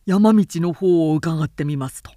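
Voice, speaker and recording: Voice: medium pitch, moderate pace, warm tone, dry recording, close to an everyday voice. An anxious officer in a historical tale, acted by a woman narrator, addressing a lord. The delivery is formal, deferential and emphatic, with an urgent edge. The sound is dry, as in an audiobook. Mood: dutiful, urgent.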